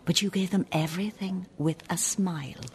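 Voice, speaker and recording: An actress with an Irish accent playing a stern, bitter, defensive older nun in a radio play, sounding accusing and sanctimonious. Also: female voice, lowish voice, normal speed, a little hiss or hum, lightly acted. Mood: scornful. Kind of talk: radio play acting, monologue and dialogue.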